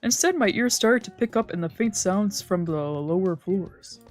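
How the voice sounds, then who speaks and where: A woman streamer reading a visual novel aloud in expressive, dramatic narration with casual asides. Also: mid-range voice, moderate pace. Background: game background music.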